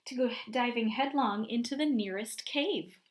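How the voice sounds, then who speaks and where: A woman teacher reading a picture book aloud to young children, expressive and often prompting her listeners with questions. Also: on the high side, normal speed, background noise.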